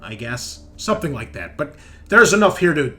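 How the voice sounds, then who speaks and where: A man running a tabletop game, narrating casually and informally, often halting as he thinks aloud. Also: on the high side, big pitch swings, sharp tone. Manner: halting, thinking aloud as map details are checked. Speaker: game master.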